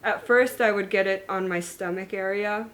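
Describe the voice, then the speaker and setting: A woman in her thirties talks to her viewers in an earnest, candid way. She was born in Germany and raised in the United States and Hungary. Her voice sits in a middle range, and she pauses and corrects herself as she goes. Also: mid-range voice, steady tone, a little hiss or hum. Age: 30s. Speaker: vlogger sharing her illness story. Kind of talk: personal talk to camera.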